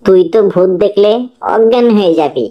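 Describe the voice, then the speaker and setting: A woman's voice: mid-range voice, lively intonation, a little fast, lo-fi sound, natural voice. A woman playing an observant, uneasy young detective sidekick in a cartoon drama. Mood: alert.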